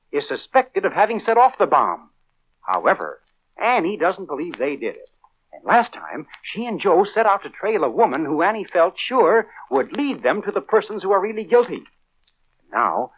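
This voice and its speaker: 1940s kids' radio announcer, American man, high-pitched, very animated, emphatic and enthusiastic, dull vintage sound. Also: a little hiss or hum. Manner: enthusiastic, emphatic, boyish exclamations.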